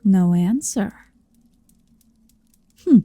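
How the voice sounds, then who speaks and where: A woman voicing a towering, possessive female dragon in human form for an ASMR roleplay, speaking softly, often in a whisper, with a teasing, domineering manner. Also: medium pitch, lively intonation, no room sound, full character voice. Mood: taunting, possessive. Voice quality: soft, breathy, often slipping into whisper. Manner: slow, deliberate, teasing and domineering.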